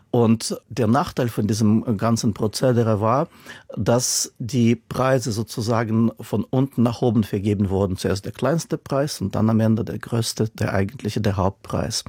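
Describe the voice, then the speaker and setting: A man's voice: lowish voice, measured pace, warm tone, breathy edge, no room sound, clean studio sound. A man whose first language is not German, speaking as an author in a radio interview, thoughtful and given to repeating words for emphasis.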